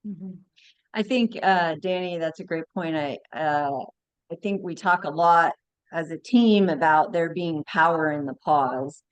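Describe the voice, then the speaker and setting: A woman co-presenting a webinar, speaking in a measured, reflective way. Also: low voice, clean tone. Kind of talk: webinar discussion.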